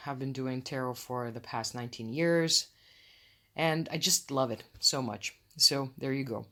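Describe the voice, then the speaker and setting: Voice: medium pitch, a bit bright. A podcast host from Croatia with a Croatian accent, talking in a casual, effusive and friendly way, a little self-conscious about speaking English. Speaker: podcast host, tarot reader. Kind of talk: casual solo podcast chat.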